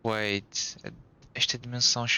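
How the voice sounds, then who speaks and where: A man's voice: mid-range voice, little pitch movement, unhurried, sharp tone, a little hiss or hum. A man with a European Portuguese accent giving casual, offhand gameplay commentary as a streamer. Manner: offhand, mixes English interjections with Portuguese. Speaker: game streamer.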